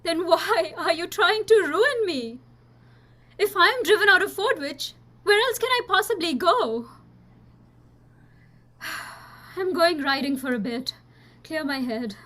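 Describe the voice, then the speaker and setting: A woman acts a desperate young lady in a radio play, extremely high and sharp in voice. She sounds wounded and petulant. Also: lively intonation, slow pace, long pauses, exaggerated voice. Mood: hurt, upset. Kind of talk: audio drama acting.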